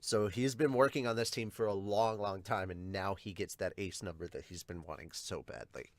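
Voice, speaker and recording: A man's voice: deep voice, moderate pace, dry recording. A man hosting a casual reaction podcast, explaining things emphatically and swearing casually. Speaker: podcast host. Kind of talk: casual chat, manga reaction.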